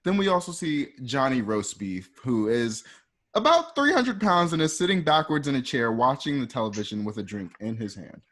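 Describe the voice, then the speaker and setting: A man's voice: medium pitch, animated, normal speed, a bit bright. A man narrating a radio drama, reading out scene directions step by step in the present tense.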